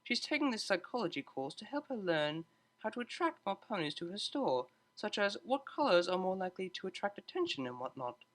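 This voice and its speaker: A woman's voice: medium pitch, very animated, fairly quick, pitched above the performer's own voice, close to an everyday voice. A woman voicing a refined, haughty mare in a pony story, speaking formally and precisely with a condescending edge. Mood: composed. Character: refined, haughty gray mare, cellist, prim and cutting.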